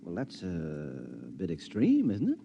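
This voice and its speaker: A man's voice: low voice, wildly swooping pitch, unhurried, vocal fry, slight background noise, exaggerated voice. An actor playing a weary, wisecracking married man, folksy and skeptical, in a 1950s radio drama. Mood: curious, sympathetic. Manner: wry, folksy, incredulous. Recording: dull 1950s radio recording.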